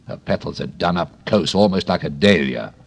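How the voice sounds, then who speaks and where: A man reading a storybook and voicing a proud, bossy female tiger lily flower in an imperious, slow, commanding manner. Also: low-pitched, expressive, gravelly, heavily acted. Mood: haughty.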